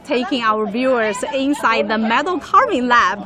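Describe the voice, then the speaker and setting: A woman's voice: fairly high pitch, animated, moderate pace, a crowd in the background. A young woman hosting a live outdoor walk-and-talk in a casual, chatty style. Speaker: live-stream host, reporter. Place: outdoors on a university campus. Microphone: handheld microphone.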